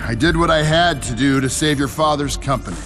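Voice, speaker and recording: A man's voice: mid-range voice, brisk, lightly acted. An actor playing a smug, commanding older businessman villain in a video game, with a condescending, taunting delivery. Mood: condescending, defiant. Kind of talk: dramatic video game acting. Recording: game dialogue under loud music.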